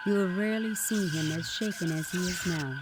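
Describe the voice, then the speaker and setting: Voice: medium pitch, a little fast, lightly acted. A narrator in a video game giving solemn, scripted character descriptions. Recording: old game audio, loud noise behind.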